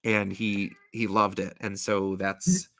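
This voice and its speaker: A man's voice: lowish voice, flat delivery, slow, a bit bright, dry recording. A man hosting a show, reading storybook verse and chatting casually with wry, jokey asides. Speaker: show host and storybook reader.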